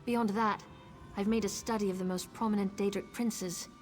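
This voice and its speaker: A woman's voice: mid-range voice, fairly even intonation, moderate pace, faint music behind, lightly acted. Earnest elven seer woman played by an actress. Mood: earnest, explaining. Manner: earnest, serious. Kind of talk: video game dramatic acting.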